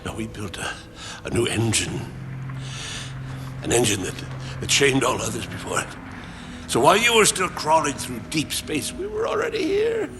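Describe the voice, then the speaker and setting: A man's voice: medium pitch, very animated, background noise, natural voice. Man acting a deranged, wildly swinging old villain. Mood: bitter boasting. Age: older. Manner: halting, theatrical, ranting. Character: embittered, deranged old male colonist leader, self-justifying villain. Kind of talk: video game cutscene acting. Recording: music under the voice.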